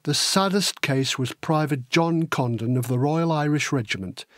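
A man's voice: on the high side, normal speed, fairly bright tone, dry recording, clean studio sound. A man reading his own children's history book as a dramatic scripted narration. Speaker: author reading own audiobook.

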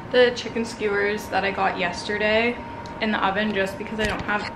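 Young woman vlogging over clear background noise. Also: mid-range voice. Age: 20s. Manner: informal, meandering, thinking aloud. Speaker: lifestyle vlogger. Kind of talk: casual chat to camera. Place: home apartment kitchen, sometimes street. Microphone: handheld or propped camera.

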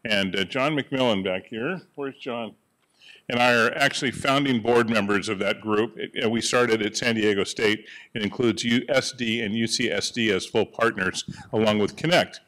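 A man in the audience of a panel, speaking on a handheld mic in a conference room, making a joking, animated comment. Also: fairly low pitch, moderate pace. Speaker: audience member, board member of an energy group.

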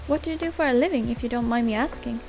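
A woman's voice: a little high, rapid-fire, a little mellow, faint music behind, natural voice. A woman playing a friendly, nosy young cafe customer with a perky, chatty manner in a fan-dubbed visual novel. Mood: perky, curious.

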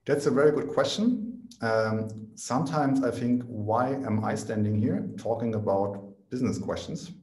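A man's voice: mid-range voice, normal speed, few pauses, a little mellow. A man in his forties speaking at a remote conference Q&A. He explains in a casual, technical way, often adds "right?", and restarts his sentences, talking into a headset at home. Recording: echoey room.

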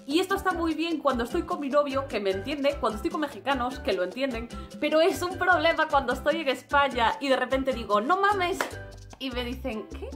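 Animated young Spanish woman vlogging. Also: high voice. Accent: Spanish from Spain. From Spain. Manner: animated, expressive, gestures a lot. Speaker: travel vlogger. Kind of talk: casual vlog monologue. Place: small room indoors.

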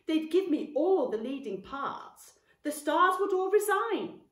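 A woman's voice: very high-pitched, big pitch swings, slow, fairly bright tone, pitched above the performer's own voice, heavily acted. A woman voicing a vain, bossy, cruel fat aunt in a pompous, theatrical storybook reading. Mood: gushing, vain. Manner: pompous, self-admiring, declamatory. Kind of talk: theatrical audiobook character reading. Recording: roomy, echoey, distant mic.

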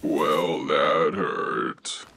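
A menacing, petty villain for a game parody. The voice is rock-bottom deep and full of gravel, drawing out deadpan taunts. The recording is clearly noisy. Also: male voice, full character voice. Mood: taunting, menacing. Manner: slow, drawn-out deadpan taunting. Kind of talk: abridged parody game dub. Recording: noisy track with background ambience.

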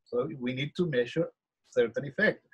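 Guy presenting research on a video call, kinda husky, lots of restarts. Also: mid-range voice, normal speed, pushed and bright. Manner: explanatory, hesitant with frequent restarts. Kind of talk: academic talk over slides.

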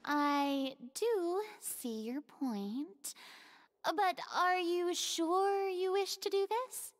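An actress voicing a theatrical young female stage director with a lively, persuasive delivery. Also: very high voice, very slow, full character voice.

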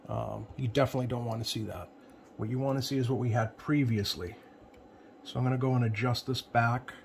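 Guy doing a casual workbench how-to, kinda lower-mid voice, stops and starts a lot, noticeable background noise. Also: steady tone. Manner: plain-spoken, hesitant, pausing often mid-sentence. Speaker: CB radio repair technician presenting. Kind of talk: casual hands-on tutorial narration. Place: indoor electronics workbench. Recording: clear background noise, home workbench room.

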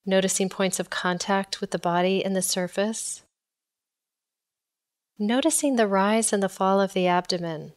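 A woman leading a guided body scan meditation in a calm, measured, instructional way. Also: medium pitch.

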